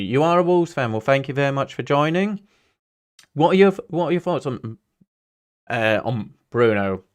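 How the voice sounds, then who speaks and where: A man with a smooth, clean voice at an average pitch hosts a football livestream. He is from Singapore and talks casually to viewers as a fan. His delivery rambles, hopping between chat and commentary on the play. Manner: rambling, chatty, jumps between anecdote and play-by-play. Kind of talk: casual match watch-along commentary, chatting with viewers.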